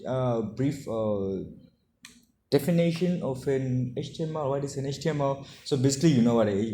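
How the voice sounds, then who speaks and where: A man giving an informal coding tutorial to camera, halting as he explains and often checking in with short tags. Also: medium pitch, mellow. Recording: roomy echo, faint background noise.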